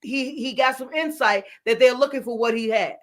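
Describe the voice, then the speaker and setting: Woman host, business mentor, high voice, chatty, laughs a lot. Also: normal speed. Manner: animated, laughs often, repeats words. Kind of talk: casual panel talk show.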